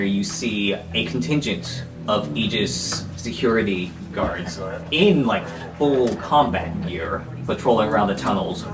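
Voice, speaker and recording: A man with a low voice narrates as game master, setting scenes in a conversational way. The recording is echoey, with clear music underneath. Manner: conversational, descriptive, with hesitations. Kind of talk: narrating a tabletop roleplay. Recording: roomy echo, music under voice. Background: background music.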